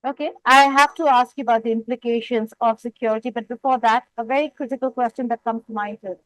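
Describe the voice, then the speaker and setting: A woman anchoring a news interview, putting long, deliberate questions to a guest with emphasis on key words. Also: a little high, fairly even intonation, fairly bright tone, low-quality recording.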